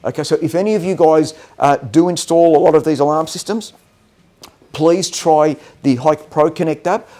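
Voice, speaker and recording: Man, relaxed mellow voice, technical trainer, presentation style, halting delivery, frequent check-ins. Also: a little high. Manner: halting, often checks understanding with "okay". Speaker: product trainer.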